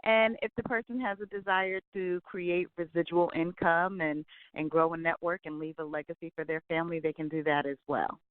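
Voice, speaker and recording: Upbeat woman, forties, phone interview guest; smooth voice, dry tinny line. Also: lowish voice, fairly even intonation, brisk, hardly any pauses, dry recording. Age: 40s. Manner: upbeat, enthusiastic, says "absolutely" often. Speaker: guest, travel business owner.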